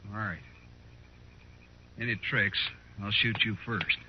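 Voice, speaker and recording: Blustering frontier schemer, lowish muffled voice. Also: male voice, takes it slowly, slightly rough. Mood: blustering, uneasy. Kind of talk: 1950s radio western acting. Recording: muffled, noisy old radio recording.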